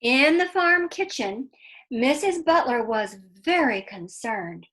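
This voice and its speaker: A woman reading a children's story aloud in a deliberate, explanatory way, with asides to young listeners. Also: high voice, animated, fairly bright tone, rough recording.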